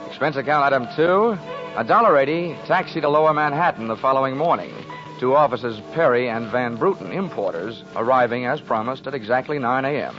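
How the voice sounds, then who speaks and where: An actor playing a wisecracking, hard-boiled insurance investigator in a 1940s radio drama, with a brisk, dry, commanding delivery. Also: male voice, mid-range voice, a bit bright, close to an everyday voice. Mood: dry, wry. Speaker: lead actor of a radio detective serial. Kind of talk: 1940s radio detective acting and narration. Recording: dull 1940s radio recording with music.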